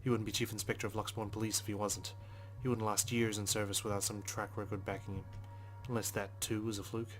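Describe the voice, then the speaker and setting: A man's voice: on the low side, little pitch movement, fast, faint music behind. A man hosting a game playthrough, reading visual novel narration aloud in a brooding, sweary way with casual asides. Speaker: let's play host.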